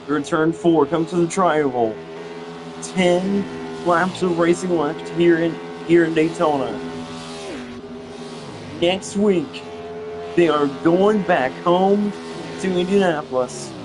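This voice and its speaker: A young male commentator calling a simulated stock car race play-by-play, halting and often repeating himself, with engine noise and music behind him. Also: a little high. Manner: halting, repeats phrases, restarts.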